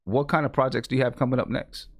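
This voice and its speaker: A man hosting an interview, talking in a halting way full of fillers. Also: mid-range voice, flat delivery, no room sound, clean studio sound. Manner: halting, many "you know" fillers. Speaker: radio show host. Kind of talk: casual interview chat.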